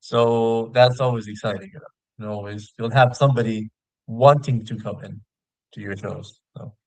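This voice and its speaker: A man's voice: mid-range voice, fairly even intonation, normal speed, no room sound, low-quality recording. A man who hosts his own show, chatting casually as a guest. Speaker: video show host appearing as a guest. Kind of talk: casual chat.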